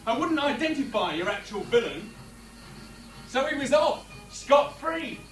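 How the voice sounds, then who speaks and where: A man's voice: extremely high pitch, sing-song, measured pace, a bit bright, faint music behind, exaggerated voice, rough recording. A British actor in a 1970s radio drama playing a chatty, outwardly affable man who nurses a grudge, speaking in casual slang. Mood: slyly menacing. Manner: colloquial, slangy, casually insinuating.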